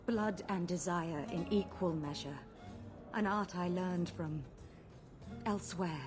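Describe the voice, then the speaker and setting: A woman's voice: lowish voice, takes it slowly, over music. A woman playing a sly, seductive courtesan and blood mage in a video game, with a languid, coy manner. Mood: guarded. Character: seductive, sly female courtesan who practises blood magic.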